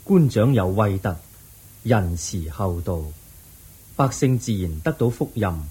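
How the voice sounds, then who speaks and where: A man playing a calm, wise Buddha. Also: lowish voice, a little slow, slightly rough, poor audio. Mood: serene. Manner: calm, patient, instructive. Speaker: narrator and lead actor. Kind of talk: radio drama acting.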